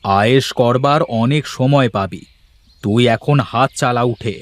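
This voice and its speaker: A man's voice: mid-range voice, unhurried, dry recording, close to an everyday voice. A man voicing a stingy, bossy, impatient village landowner who scolds in a cartoon folk tale. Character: stingy, impatient village landowner hurrying his hired hand.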